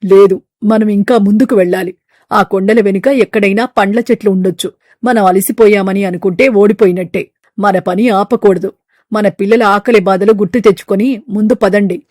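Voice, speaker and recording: A woman voicing a stern male eagle who runs the fruit market, talking in a blunt, domineering way. Her delivery is gruff and commanding. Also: mid-range voice, fairly even intonation, a little fast, darkish tone, dry recording, studio quality, lightly acted. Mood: urging. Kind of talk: animated storybook voice acting.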